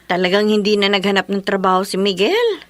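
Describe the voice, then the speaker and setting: An actress playing a chatty, generous neighbour in a Filipino radio drama, casual and familiar, with a Filipino accent. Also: female voice, mid-range voice, big pitch swings, a little fast, no room sound, natural voice. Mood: friendly. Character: generous, chatty, inquisitive female neighbour and friend.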